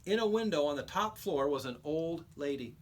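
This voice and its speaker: A man reading a children's storybook aloud like a teacher, with expressive, emphatic storytelling. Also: on the high side, moderate pace.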